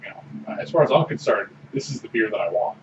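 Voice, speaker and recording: A man's voice: fairly low pitch, animated, quick pace. Podcast co-host, male, casual and thoughtful, recorded from a distance with a noisy hiss. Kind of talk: casual beer-tasting chat. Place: small room with orange walls. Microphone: desk mic on boom arm. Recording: roomy, distant, dull with loud background hiss.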